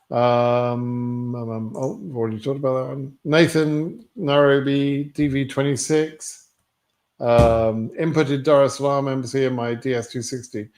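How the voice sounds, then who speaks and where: A man's voice: mid-range voice, a little mellow. Blunt British man answering live questions. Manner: blunt, matter-of-fact. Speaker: livestream host answering immigration lottery questions. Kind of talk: live Q&A, reading out viewer questions and answering off the cuff.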